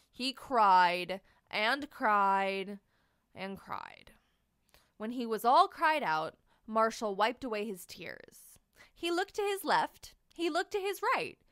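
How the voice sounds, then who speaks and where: A woman reading a children's storybook aloud as host, in expressive scripted narration with dramatic, drawn-out emphasis on key words. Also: fairly high pitch, clear, resonant tone, no room sound, clean studio sound.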